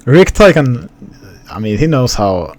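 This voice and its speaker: Young Finnish streamer, light accent, loose rambling chat with viewers, mid-pitched. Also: male voice, sing-song, measured pace, darkish tone. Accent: Finnish, partly suppressed. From Finland. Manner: rambling, restarts, talks to chat. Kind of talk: casual live-stream chat.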